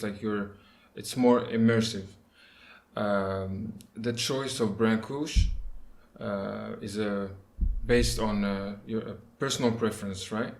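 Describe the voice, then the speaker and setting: A man hosts a casual interview in a low voice with very little melodic movement. He phrases things hesitantly, in halting pieces. The sound is roomy and echoey. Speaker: podcast host. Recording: roomy echo.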